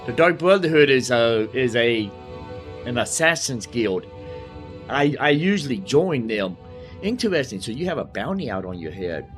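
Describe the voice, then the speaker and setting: A man's voice: medium pitch, very animated, normal speed. Musing man playing a game over music. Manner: musing, thinking aloud, repeats himself. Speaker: gamer, host of a roleplay series. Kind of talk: casual let's-play commentary.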